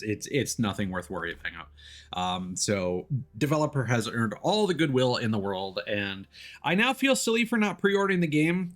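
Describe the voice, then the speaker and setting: A man chatting casually as he plays a video game on stream, often pausing and restarting mid-thought. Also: mid-range voice, a bit bright. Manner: chatty, hesitant mid-thought, playful exclamations. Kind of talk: casual gameplay commentary and chat. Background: faint game music.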